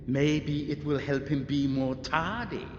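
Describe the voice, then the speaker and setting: An actor playing a scornful, theatrical letter writer in a video game voice-over with long reverb. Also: male voice, a little high, fairly even intonation, slow pace. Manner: theatrical, contemptuous. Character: scornful letter writer griping about a messenger. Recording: reverberant, muffled, slightly noisy game audio.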